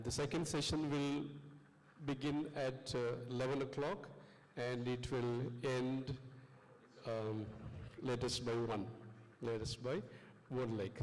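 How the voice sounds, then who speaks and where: A man with a South Indian accent hosting a class session, giving informal instructions in a halting, repetitive way. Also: mid-range voice, a little mellow. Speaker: lecturer and session host. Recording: long echoing hall reverb.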